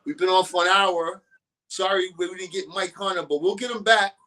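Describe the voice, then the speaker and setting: A man's voice: sits high, fairly even intonation, a little fast, sharp tone, poor audio. A man as a guest on a boxing talk show, giving loose and opinionated casual talk.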